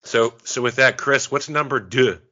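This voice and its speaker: A man's voice: mid-range voice, moderate pace, pushed and bright. A man co-hosting a podcast, chatting casually and joking around. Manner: teasing, joking. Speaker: podcast co-host. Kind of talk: casual chat. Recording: dull lo-fi sound.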